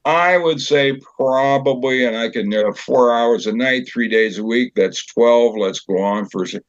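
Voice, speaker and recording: An older man chatting as an interview guest, telling anecdotes in a halting, conversational storyteller's way. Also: medium pitch, dry recording. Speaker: guest, community theater director and actor. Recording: slightly dull, dry recording.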